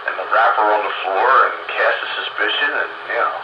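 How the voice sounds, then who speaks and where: An older man joking casually with friends over radio audio, in a halting, offhand delivery. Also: on the low side. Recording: very noisy, muffled, echoey radio audio.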